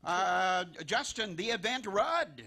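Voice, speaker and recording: A man's voice: sits high, lively intonation, takes it slowly, creaky, noisy background. A man announcing a scripted live radio-style variety show as its host.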